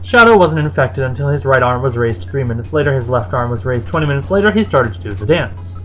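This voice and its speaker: Female story reader, low register, very lively inflection, theatrical, faint music behind. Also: quick pace. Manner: expressive, theatrical delivery. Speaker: fan fiction reader. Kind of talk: animated story narration.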